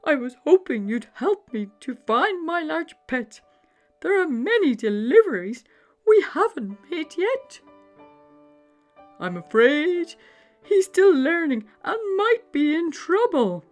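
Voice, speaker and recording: A woman's voice: high-pitched, very animated, soft background music, voice pushed up, exaggerated voice. A woman playing a theatrical, upset old Santa. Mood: worried, pleading. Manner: theatrical, exclaiming, drawn-out words. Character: upset, worried old Santa, elderly man fretting over reindeer.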